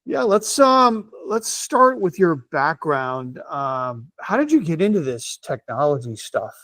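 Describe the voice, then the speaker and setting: A man's voice: on the high side, sing-song, a little slow, fairly bright tone, dry recording. A man hosting a business interview podcast, introducing his guest in an upbeat, promotional way with occasional fillers. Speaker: podcast host, consultant.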